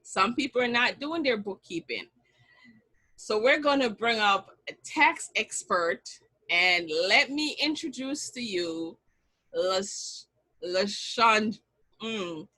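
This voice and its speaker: Jamaican lady hosting an online summit, chatty and upbeat, bright tone, middle pitch. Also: expressive, takes it slowly, rough recording. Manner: chatty and upbeat, tells personal stories with laughs. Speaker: summit host. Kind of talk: casual online event introduction and storytelling.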